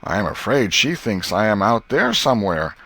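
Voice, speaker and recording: A man's voice: on the low side, sing-song, normal speed, sharp tone, no echo, heavily acted. A man voicing a pompous male Sunday-school superintendent, speaking in a sugary, condescending way to children in a storybook reading. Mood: sugary, preachy.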